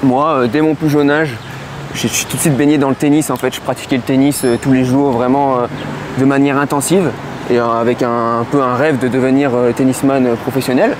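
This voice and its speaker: A man in his twenties from the Lyon region, answering interview questions outdoors in a city, pausing often with fillers. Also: medium pitch, flat delivery. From Lyon region, France. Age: 20s. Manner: hesitant, many fillers and restarts. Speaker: interview guest. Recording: clear street noise behind.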